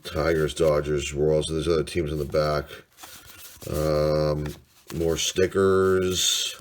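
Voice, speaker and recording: A man's voice: a bass voice, flat delivery, slow pace, a little hiss or hum. A man in his forties with a congested, stuffy voice, rambling casually through old finds and thinking aloud with lots of fillers. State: congested from a sinus cold. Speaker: sports card collector. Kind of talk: casual show-and-tell vlog.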